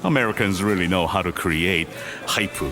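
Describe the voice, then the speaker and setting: Low-voiced, powerful uncle. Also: male voice, moderate pace. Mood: jovial. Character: powerful Korean businessman uncle, jovial but ruthless. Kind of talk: audio drama acting. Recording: music behind the voice.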